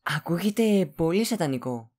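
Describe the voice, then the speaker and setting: A woman voices a naive male underling in an audio drama, low in register with very lively intonation. He is fawning and deferential, quick to be led. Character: fawning, gullible young male assistant to a villainess. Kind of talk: Greek audio drama acting.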